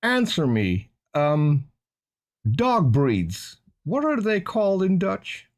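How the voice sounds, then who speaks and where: A man's voice: high-pitched, huge pitch swings, slow pace. A man on a casual panel chat who makes his points with rhetorical questions. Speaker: panel guest.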